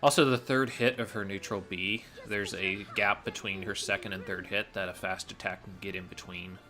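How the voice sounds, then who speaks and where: Low, bright-voiced man commentating a game. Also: fairly even intonation, moderate pace, faint music behind. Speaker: speedrunner commentator. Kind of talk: explanatory gameplay commentary.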